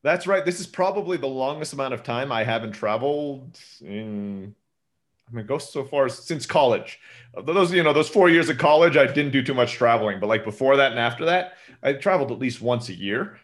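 A man in his thirties talks as a podcast guest at a rapid clip. His delivery is animated and casual, with frequent laughter, as if over a remote call. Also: medium pitch. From Turkey. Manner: fast, animated, laughs often. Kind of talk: casual interview chat.

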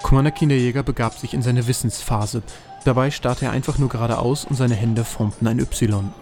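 A man reads scripted narration for a fantasy audio play. His medium-pitched voice is dark and mellow, with light music underneath. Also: fairly even intonation, a little fast.

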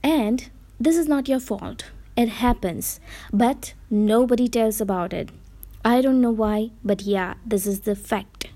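A woman's voice: on the high side, unhurried, mellow. A woman from India with an Indian English accent, whose first language is Gujarati, giving earnest advice in a casual vlog and correcting herself as she goes. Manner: earnest, explanatory, with self-corrections. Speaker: vlogger giving reading advice. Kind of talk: casual talking-to-camera advice.

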